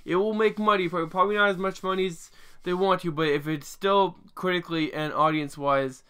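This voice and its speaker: Bright-voiced young man rambling casually. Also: sits high, steady tone, fairly quick, clear, resonant tone. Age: 20s. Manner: rambling, many restarts and fillers, swears casually. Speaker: vlogger. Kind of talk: casual rambling opinion vlog.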